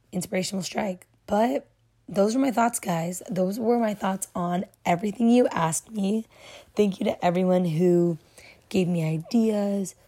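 Young female podcast host, hoarse and low-pitched, warm rambling chat. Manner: chatty, affectionate, rambling. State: hoarse, losing her voice. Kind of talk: casual solo podcast talk.

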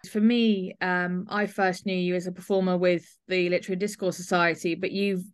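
A woman's voice: lowish voice, flat delivery, moderate pace, smooth. Woman hosting a conversational interview. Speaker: podcast host.

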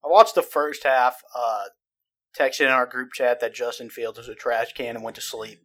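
A man's voice: medium pitch, steady tone, normal speed, a bit bright. A young man co-hosting a casual sports podcast, a teasing storyteller who laughs into his lines. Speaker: podcast co-host.

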